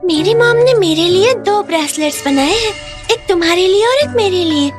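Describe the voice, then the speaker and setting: A woman dubbing a girlish, affectionate young woman in a drama, in a sweet, expressive way. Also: extremely high pitch, moderate pace, music in the background. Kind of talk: Hindi dubbed drama acting.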